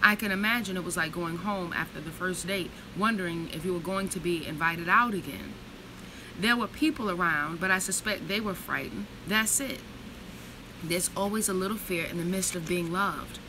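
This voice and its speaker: A woman in her thirties reads slowly and reflectively from a book, then slips into blunt, profane, casual storytelling. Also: fairly low pitch, noisy background. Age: 30s. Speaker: vlogger reading from a book.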